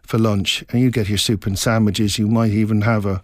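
A middle-aged man from Scotland, an author being interviewed, talking in a reflective, meandering way with halting restarts. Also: fairly low pitch, flat delivery, dry recording, clean studio sound. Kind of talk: casual interview chat.

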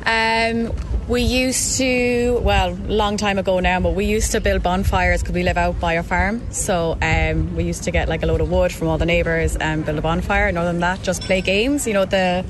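A woman's voice: lowish voice, steady tone, normal speed, noisy background. A woman in a street interview, talking casually and reaching for words.

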